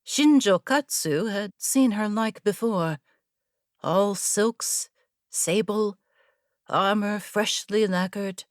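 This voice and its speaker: Slow, measured female story narrator. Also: medium pitch, no echo, clean studio sound. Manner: measured, deliberate. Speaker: audiobook narrator.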